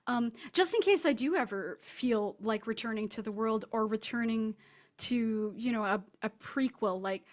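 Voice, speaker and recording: A woman's voice: fairly high pitch, normal speed. A woman speaking casually as a guest author in an interview, rambling and self-deprecating. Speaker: guest fiction writer.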